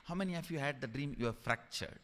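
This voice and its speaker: A man with an Indian accent giving a spiritual discourse as a teacher, emphatic and deliberate, repeating key phrases. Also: mid-range voice, a bit bright. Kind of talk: sermon-like spiritual discourse to an audience. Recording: long hall reverb.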